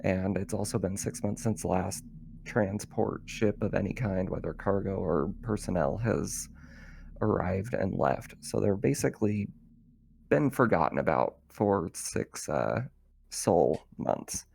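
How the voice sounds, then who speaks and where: A man running an online tabletop roleplaying game as game master, informal and halting as he sets the scene. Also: low-pitched, lively intonation, gravelly, darkish tone, slight background noise.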